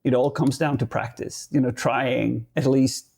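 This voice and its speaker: A man in his thirties, a native Swedish speaker with a Swedish accent, talking casually as a podcast guest and often pausing and restarting while he searches for words. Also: medium pitch, steady tone, creaky, darkish tone, slightly rough. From Sweden.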